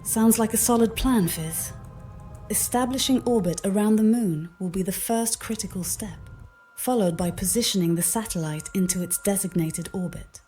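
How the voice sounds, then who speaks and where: A female AI copilot speaks in a mellow, mid-pitched synthetic voice. She is composed and methodical, giving formal spaceflight guidance. Light music plays behind her. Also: normal speed. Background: background music.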